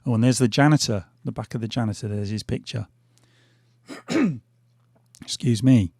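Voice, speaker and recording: A middle-aged man talks over his gameplay in a loose, hesitant ramble full of fillers. His voice is gravelly and fraying because he keeps losing it. The sound is dry and close, with no room around it. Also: mid-range voice, normal speed, dark tone, no echo. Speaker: gaming channel host. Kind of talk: casual gameplay commentary. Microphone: headphones on, desk mic.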